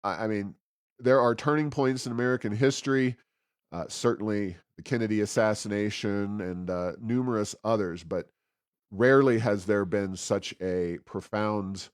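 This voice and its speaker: A man hosting a podcast, giving conversational political commentary with frequent pauses mid-sentence. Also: on the low side, smooth, no echo, clean studio sound. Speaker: podcast host.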